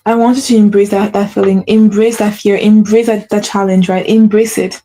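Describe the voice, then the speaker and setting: A woman's voice: mid-range voice, level tone, moderate pace, dark tone, rough recording. A woman hosting a solo podcast as a spiritual coach, speaking earnestly, repeating herself and checking in with the listener, with a slight stutter. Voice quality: mentions having a stutter. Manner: earnest, repetitive, with frequent "right?" check-ins and self-corrections. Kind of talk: solo motivational talk.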